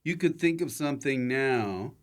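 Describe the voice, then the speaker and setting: A man's voice: medium pitch, lively intonation, moderate pace. An American man hosting a casual comedy podcast interview, asking questions and adding short remarks. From United States. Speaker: podcast host.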